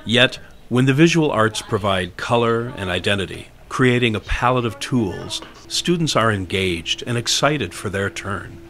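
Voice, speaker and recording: An American man reading scripted documentary narration in a measured, deliberate way. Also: on the low side, lively intonation, a bit bright. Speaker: promotional video narrator. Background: music.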